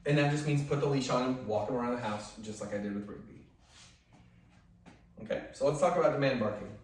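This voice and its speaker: Male dog trainer, loud voice, chatty and instructive; distant mic in a boomy room with light hiss. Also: quick pace, very echoey. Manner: instructive, conversational, drops to soft commands to the dog. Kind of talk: hands-on training demonstration.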